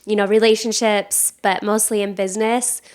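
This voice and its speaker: A woman with a medium-pitched voice hosts a podcast. She speaks in a casual, conversational interview style. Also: little pitch movement, moderate pace, clear, resonant tone. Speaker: podcast host.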